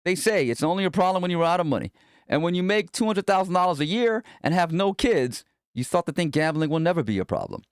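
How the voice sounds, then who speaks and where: A man narrates a memoir in a slightly high voice with very animated intonation. The delivery is blunt, wry and conversational, and the sound is dry and close. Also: fast talker, dry recording. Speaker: narrator reading a book chapter aloud. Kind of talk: scripted first-person memoir narration.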